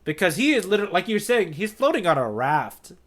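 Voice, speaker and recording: A man's voice: high-pitched, big pitch swings, fast, a bit bright. A man co-hosting a podcast, chatting casually and enthusiastically as he explains his thoughts and checks in with "right?". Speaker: podcast co-host. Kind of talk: casual chat discussing a manga.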